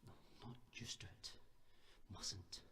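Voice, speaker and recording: Actor as weary, desperate imprisoned keeper, noisy background. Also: male voice, takes it slowly, pushed and bright. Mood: weary, muttering. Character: imprisoned male keeper of a secretive order, weary, suspicious, desperate. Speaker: actor in student radio drama. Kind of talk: dramatic radio drama acting.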